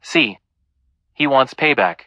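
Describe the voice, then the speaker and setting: A man's voice: mid-range voice, animated, takes it slowly, bright, forceful tone. A man playing an anxious, pleading young boyfriend in an audio play, speaking nervously.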